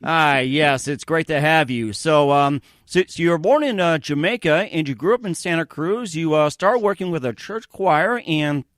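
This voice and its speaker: A man hosting an interview show, speaking in a halting, rambling way as he does promos and questions. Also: fairly high pitch, a bit bright, dry recording, studio quality. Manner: halting, rambling, filler-heavy. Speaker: radio show host and interviewer.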